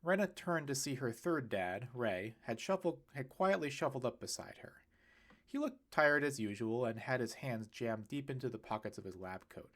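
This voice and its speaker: A man's voice: mid-range voice, moderate pace. A man narrating a serialized novel aloud, lifting his voice for the characters' lines. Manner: lively reading with bright, raised voices for quoted characters. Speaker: audiobook narrator.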